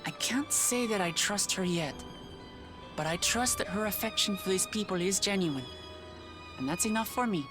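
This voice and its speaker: An actress playing a guarded but resolute young adventurer in a video game, earnest and plain-spoken. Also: female voice, medium pitch, expressive, normal speed, music in the background, lightly acted. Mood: guarded, sincere. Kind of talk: scripted video game voice acting.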